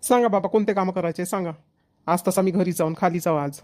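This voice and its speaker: A woman's voice: fairly low pitch, brisk, a little mellow, lightly acted. A woman voicing an easygoing, helpful young village son in a cartoon comedy, plain and matter-of-fact, with a Varhadi Marathi accent. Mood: obliging.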